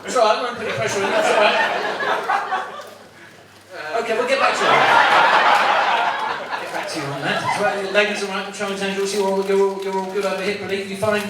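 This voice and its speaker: Echoey, far-off comedy MC. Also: male voice, sits high, fairly even intonation, fairly quick, long pauses. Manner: chatty, teasing audience banter with many restarts. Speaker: comedy club MC. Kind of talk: stand-up compering and crowd work. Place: comedy club with live audience. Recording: echoey, distant room recording with loud noise.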